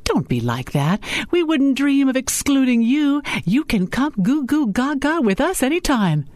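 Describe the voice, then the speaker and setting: A woman's voice: high-pitched, big pitch swings, measured pace, darkish tone, no room sound, pitched above the performer's own voice. A woman voicing an earnest, polite, bold female lawyer in an audiobook. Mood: teasing, bold. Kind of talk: audiobook character dialogue.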